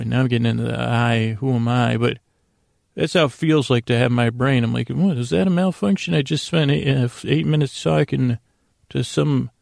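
A man hosting a bedtime podcast, talking in a meandering, halting, whimsical monologue that often interrupts itself. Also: mid-range voice, vocal fry, a little mellow, no room sound, clean studio sound. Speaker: podcast host.

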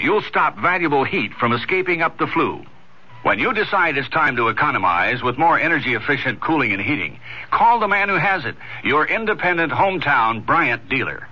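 A man's voice: fairly high pitch, sharp tone, husky. A man reading a scripted radio advertisement as a commercial announcer. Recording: muffled radio ad with faint music.

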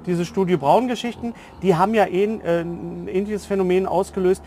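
A man's voice: high voice, fairly even intonation, a little fast. A man interviewing in a conversational way as a podcast host.

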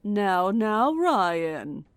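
Actress as lively, condescending, commanding villainess. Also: female voice, mid-range voice, drawn-out words, no room sound. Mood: condescending, taunting. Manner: composed, condescending, formal. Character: coolly commanding, mocking woman who holds captives. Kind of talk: horror audio drama acting.

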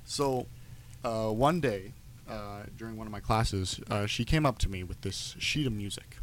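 A man's voice: fairly low pitch, moderate pace, a little hiss or hum. A young man co-hosting a podcast, telling a wandering story full of ums and restarts in casual chat. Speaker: podcast co-host.

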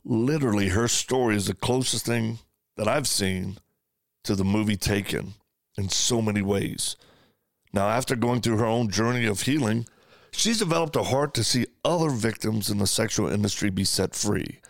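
Gravelly-voiced male talk show host. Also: on the low side, normal speed. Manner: enthusiastic, repeats words when thinking. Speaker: podcast host. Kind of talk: conversational interview.

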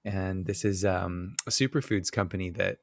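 A man's voice: deep voice, normal speed, vocal fry, no echo, clean studio sound. A man from New South Wales hosting a casual interview, effusive and jokey with his guest. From New South Wales, Australia. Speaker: podcast host.

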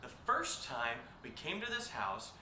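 A man's voice: wildly swooping pitch, unhurried, exaggerated voice. An actor playing an agitated, sarcastic young man in an audio drama, ranting and swearing as if to a livestream. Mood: manic, sarcastic. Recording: echoey room, faint hiss, high-pitched bright voice.

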